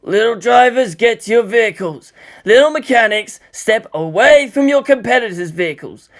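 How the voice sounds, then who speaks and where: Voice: mid-range voice, lively intonation, moderate pace, pitched above the performer's own voice, natural voice. Reader voicing an exasperated young man barking at kids. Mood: exasperated shouting. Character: harried young man shouting at unruly children.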